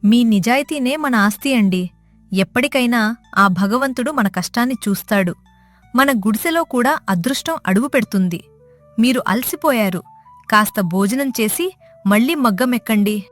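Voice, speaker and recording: A woman playing the devoted, caring wife of a poor village weaver, gentle, respectful and consoling, in a moral story drama. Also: a little high, expressive, moderate pace, no echo, soft background music, close to an everyday voice. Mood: consoling, hopeful. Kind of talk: audio moral story acting.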